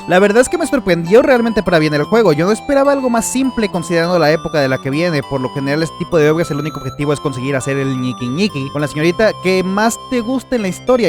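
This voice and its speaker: Male video essay narrator, Latin American Spanish accent, very quick scripted read with conversational asides over a music bed. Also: high voice.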